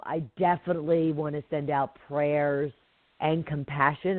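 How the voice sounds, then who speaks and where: A woman hosts a casual talk radio show, her voice deeper than usual and stuffy from allergies. She rambles warmly through fillers and asides, with an occasional hack. The sound is dry and thin, like a phone line. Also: no room sound. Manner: chatty, rambling, many fillers. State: congested with allergies, coughing. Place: home in Atlanta.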